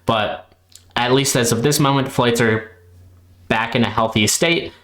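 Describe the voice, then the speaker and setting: A man's voice: medium pitch, unhurried, fairly bright tone, reverberant. A man giving unscripted commentary as a reaction host, explaining in a roundabout, hedging way.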